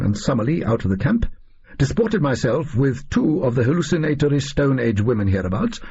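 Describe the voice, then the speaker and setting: Male actor as eccentric explorer-scientist, audiobook diary entries, clipped, self-important, gravelly, muffled recording. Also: medium pitch, warm tone, full character voice. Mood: drunken, lurid. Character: pompous, eccentric explorer-scientist reading his own diary.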